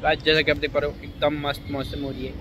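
A man's voice: on the high side, level tone, fast talker. A man, hosting a casual comedic vlog with playful banter. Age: 20s. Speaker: vlog host. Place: open rooftop in a city. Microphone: phone at arm's length. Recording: noisy outdoor phone audio with traffic.

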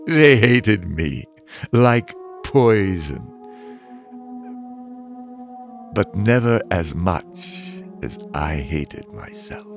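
Male actor as bitter stunt driver narrating a motion comic, very low register, wide dramatic swings, brooding. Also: wildly swooping pitch, creaky, heavily acted. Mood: bitter, self-loathing. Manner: slow, brooding, theatrical, swinging in intensity. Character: bitter, haunted male demolition derby driver with a death wish. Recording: music bed behind the voice.

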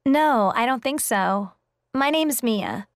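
A woman's voice: on the high side, lively intonation, takes it slowly, no echo, clean studio sound. A woman playing a polite, friendly woman in a scripted textbook dialogue.

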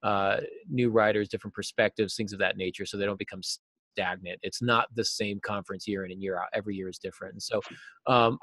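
A middle-aged man giving conversational advice as an interview guest, often pausing mid-thought as he phrases things. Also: on the low side, no room sound, low-quality recording. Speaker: guest, novelist and podcast host. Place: small cluttered office, indoors.

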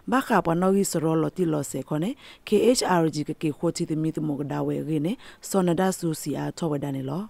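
Lower-voiced, creaky woman newsreader. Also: dark tone, dry recording. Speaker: radio news presenter. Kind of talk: scripted news reading.

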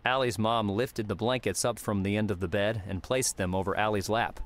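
Low-voiced male audiobook narrator. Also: fairly even intonation, a little fast. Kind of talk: scripted story narration.

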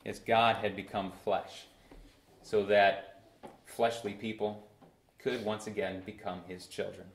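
A young man in his twenties preaching a sermon from a church pulpit, with bursts of emphasis and slow, drawn-out phrases. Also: fairly low pitch, flat delivery, gravelly. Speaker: pastor. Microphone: far camera in a roomy church. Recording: echoey church room.

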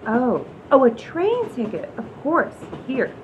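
Here is a scatterbrained, evasive lady passenger played by a woman in a radio comedy. Her voice is high and swings up and down with cheeky energy. The recording is muffled, with train rumble behind. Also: very animated, slow pace, exaggerated voice.

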